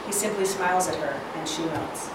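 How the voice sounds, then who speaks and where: A woman's voice: deep voice, fairly quick. A woman reading scripted stage directions aloud at a live screenplay reading. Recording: echoey hall, distant mic, noisy.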